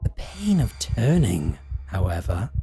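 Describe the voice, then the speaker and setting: Man acting as male vampire, low-pitched, unhurried, menacing, possessive, seductive, theatrical audio roleplay. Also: huge pitch swings, dark tone, slight background noise, exaggerated voice. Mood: mock-tender threat. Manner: theatrical, drawn-out, mock-tender, threatening. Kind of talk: scripted roleplay audio drama.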